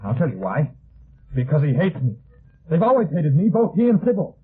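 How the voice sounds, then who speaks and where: Excitable high-voiced resentful heir, old radio. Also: male voice, fairly quick, husky, full character voice. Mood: indignant. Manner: agitated, sharp protesting. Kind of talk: 1950s radio drama acting. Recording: thin phone-like vintage radio recording.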